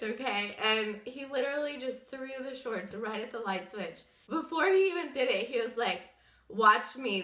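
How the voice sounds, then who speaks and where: A woman's voice: a little high, pushed and bright, roomy sound. A young woman with a sick, wheezy voice, chatting and rambling through a casual story time vlog. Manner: chatty, rambling with many fillers. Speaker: vlogger.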